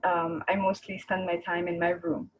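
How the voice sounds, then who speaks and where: A woman's voice: lowish voice, little pitch movement, moderate pace, slightly rough, rough recording. A woman, an Arabic speaker, chatting casually as a guest artist on a video call. She rambles and searches for words, laughing easily. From Egypt.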